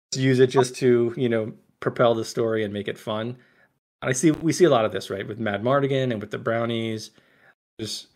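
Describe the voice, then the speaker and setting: Chatty man co-hosting a film podcast. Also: mid-range voice. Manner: chatty, often seeks agreement with tag questions. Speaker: podcast co-host and author. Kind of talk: casual film discussion.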